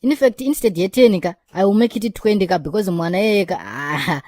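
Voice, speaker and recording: A woman's voice: mid-range voice, a little fast, dark tone, no room sound, close to an everyday voice. Brief: actress as swaggering young ladies' man, Zimbabwean accent, boastful chatter, dry close mic. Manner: bragging, mixing in English phrases. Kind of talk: cartoon voice acting.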